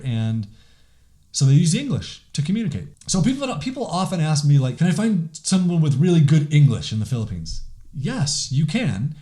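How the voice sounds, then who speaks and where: A man's voice: medium pitch, warm tone. A man hosting a podcast, talking casually on his own with many "like" fillers and restarts. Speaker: podcast host. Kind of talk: casual solo podcast monologue.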